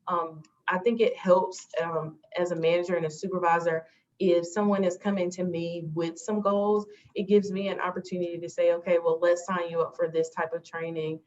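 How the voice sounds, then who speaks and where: A woman manager on a panel, explaining steadily with some fillers over a video call. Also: on the low side. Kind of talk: casual panel advice. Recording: dull video call audio with faint noise.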